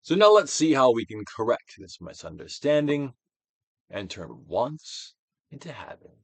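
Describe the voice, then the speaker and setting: A man giving a dramatic, emphatic audiobook reading of a self-help book, moving between loud declarations and hushed phrases. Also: mid-range voice, big pitch swings, a little slow, breathy edge, no echo. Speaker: actor reading a book aloud.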